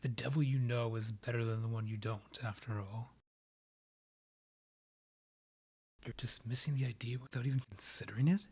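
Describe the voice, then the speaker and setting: A man playing a haughty vampire monarch, a proud rival and ex-lover, with formal, measured, archly condescending phrasing in a romantic roleplay audio drama. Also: medium pitch, fairly even intonation, a little mellow, husky. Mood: earnest, insistent.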